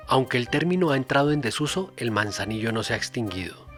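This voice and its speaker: A man's voice: mid-range voice, expressive, quick pace, faint music behind. A man narrating a scripted documentary.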